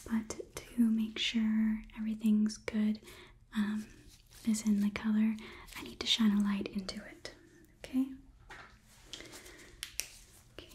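A young woman doing a whispered ASMR roleplay as a polite, clinical examiner, softly giving instructions up close to the microphone. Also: fairly high pitch, a little hiss or hum. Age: young adult. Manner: soft, deliberate, instructive. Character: polite, clinical robot overlord examining a human. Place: small indoor room set. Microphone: close microphone, just out of frame.

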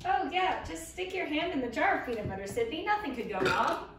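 A woman's voice: sits high, very animated, a little fast. A young woman co-hosting a cooking vlog, chatting playfully in a home kitchen and breaking into laughter. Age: young adult. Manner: playful, bursts into song and laughter. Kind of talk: casual chat while cooking. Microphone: camera across the room. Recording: echoey kitchen, far from the mic, noisy.

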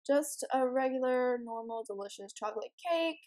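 Teen girl, raspy and a bit high-pitched, rambling and giggly gameplay commentary. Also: animated, moderate pace, a little mellow. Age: teens. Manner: chatty, giggly, rambling with many ums. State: morning voice. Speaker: let's play host.

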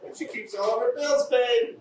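A man's voice: very high-pitched, normal speed, darkish tone. Flippant, needling freeloader brother played by a young man for a stage reading; distant pickup, faint noise. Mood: teasing. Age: young adult. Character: cocky, childish freeloading brother, evasive and teasing. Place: small café, indoors, live reading. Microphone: far camera microphone. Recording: echoey, distant room recording, faint noise.

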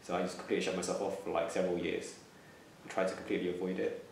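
Low, flat-voiced young man who stammers. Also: racing, vocal fry, slight background noise. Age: 20s. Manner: stammers, with repeated words and restarts. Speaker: interviewee. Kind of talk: reflective interview answers. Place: plain room indoors. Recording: echoey room, slightly distant mic.

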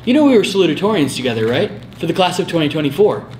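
A man's voice: on the high side, very animated, racing, a little mellow. Campus elder, British, theatrical and pompous, played by a man, amateur comedy skit with faint noise. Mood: grandly chatty. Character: elder, undead former student cursed to answer history questions.

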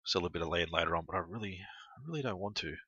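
A man's voice: deep voice, rapid-fire, slightly rough, no echo, lo-fi sound. A young man with a stuffed-up nose, rambling casually with lots of ums as he plays a farming game and talks to viewers. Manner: rambling, relaxed, many ums. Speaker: gaming channel host. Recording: loud game engine noise behind.

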